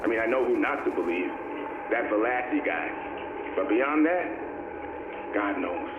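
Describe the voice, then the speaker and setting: An actor playing a cynical, streetwise survivor of a collapsed city in a game audio log, talking grimly and colloquially. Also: male voice, fairly low pitch, expressive, unhurried. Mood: weary, wary. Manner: colloquial, grim, world-weary. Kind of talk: dramatic monologue in a video game audio log. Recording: tinny, echoey, noisy recorded audio log.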